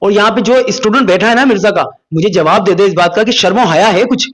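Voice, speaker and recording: Indignant high-voiced male reaction host. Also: a little fast, a bit bright. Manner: indignant, scolding. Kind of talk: critical reaction commentary.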